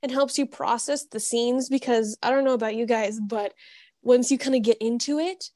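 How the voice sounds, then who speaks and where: A woman chatting casually and enthusiastically as a podcast guest, expressive and gushing. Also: on the high side, moderate pace, no echo, clean studio sound.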